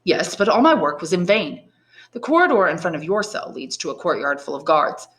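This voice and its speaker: Woman doing old prisoner priest from a classic novel, learned, bitter n resigned, really emphatic clear wording. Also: on the low side, big pitch swings, fairly quick, fairly bright tone. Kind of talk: dramatised audiobook reading of a classic novel.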